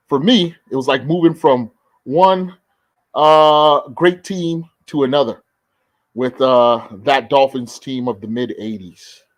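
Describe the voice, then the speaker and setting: A middle-aged man from the Caribbean, a guest who tells stories in a relaxed, talkative way on a casual remote podcast chat. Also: medium pitch, dry recording. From the Caribbean; born in the Bahamas, grew up in Barbados.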